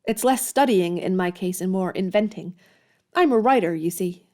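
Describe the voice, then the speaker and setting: An actress playing an earnest, polite young woman writer and governess in an audio drama, with expressive delivery. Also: on the low side, normal speed, natural voice. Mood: modest.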